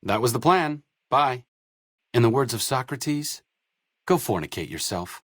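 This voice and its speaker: Dry, wry wasteland doctor, close-miked. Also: male voice, medium pitch, animated, unhurried, no room sound. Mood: dry, curt. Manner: dry, articulate, sardonic, self-deprecating. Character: wry, educated, idealistic doctor-researcher in a post-apocalyptic wasteland. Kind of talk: video game companion dialogue.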